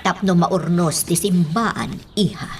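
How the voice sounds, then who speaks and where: A woman's voice: fairly low pitch, animated, slow, a little mellow, a little hiss or hum. An actress playing a cautious, worried middle-aged mother in a radio drama. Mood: gently admonishing.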